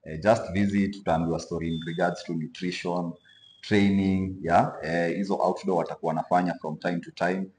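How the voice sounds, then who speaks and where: A young Kenyan man hosting a casual gym interview, relaxed and chatty, peppering his talk with "yeah" and Swahili slang, recorded on a lapel mic in an indoor gym. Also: low voice, a little fast, a little hiss or hum. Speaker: host. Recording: echoey gym room.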